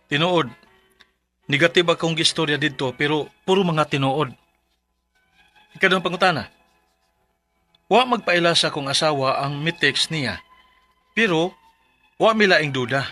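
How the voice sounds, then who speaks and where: A man's voice: a little high, pushed and bright. A man with a Cebuano accent playing a distressed letter sender in a radio drama, earnestly and emphatically asking for legal advice.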